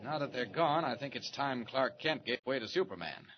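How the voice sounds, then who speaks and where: A man acting a brave, quick-thinking reporter with a secret heroic identity in a 1940s radio serial, speaking briskly and earnestly. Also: mid-range voice, animated, lightly acted. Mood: low, determined. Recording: muffled, noisy 1940s radio recording.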